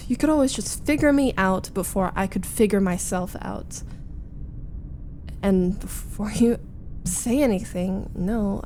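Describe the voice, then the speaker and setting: A woman voicing a flustered, needy young roommate in a chatty, halting, defensive roleplay audio, confiding as she vents. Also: medium pitch, warm tone, slight background noise, natural voice. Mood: teasing, defensive. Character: flustered, needy young female roommate venting about a date. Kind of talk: roleplay ASMR audio acting.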